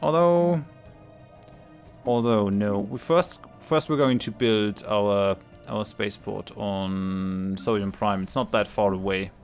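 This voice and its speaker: Low-voiced man with a cold. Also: slow pace, faint music behind. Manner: thinks aloud with frequent ums and restarts; laughs readily between remarks. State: has a cold. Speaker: let's play host. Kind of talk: casual gameplay commentary.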